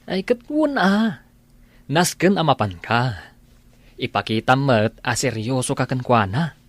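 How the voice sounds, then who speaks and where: A man's voice: medium pitch, very animated, measured pace, dry recording. A man playing a pushy, encouraging young friend in an Ilocano audio drama, urging his pal on. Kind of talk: Ilocano radio-style drama acting.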